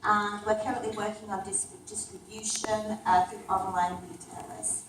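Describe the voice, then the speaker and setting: A woman presenting her startup product at a community event, speaking briskly with some fillers. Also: mid-range voice, flat delivery, slight background noise. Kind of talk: business pitch. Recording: echoey room, mic at a distance.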